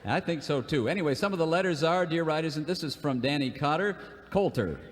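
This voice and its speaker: A man's voice: fairly high pitch, expressive, a little fast, big-room sound. A man reading letters aloud and bantering in a folksy, joking way on a live comedy radio show. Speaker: radio show performer and singer.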